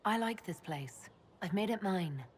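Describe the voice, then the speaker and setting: An actress playing a composed, earnest female mage leader in a video game, with a husky, breathy voice and a direct manner. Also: mid-range voice, animated, measured pace, slight background noise, lightly acted. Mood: calm, courteous. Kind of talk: dramatic video game dialogue.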